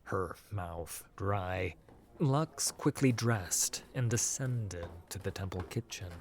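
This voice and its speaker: A man narrating a scripted fantasy audiobook in a measured, dramatic storytelling manner. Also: low voice, expressive.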